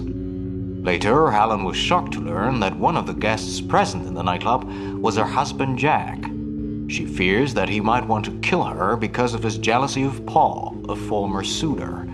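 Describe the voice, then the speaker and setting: A man reading scripted narration as the narrator of a radio drama. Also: on the low side. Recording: loud music under the voice.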